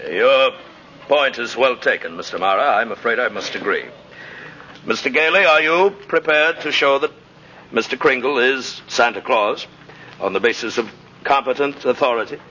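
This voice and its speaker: A man's voice: medium pitch, expressive, moderate pace, sharp tone. An actor playing a flustered, cautiously official male judge, hesitant and pompous, in 1950s radio drama style. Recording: muffled, noisy vintage radio recording.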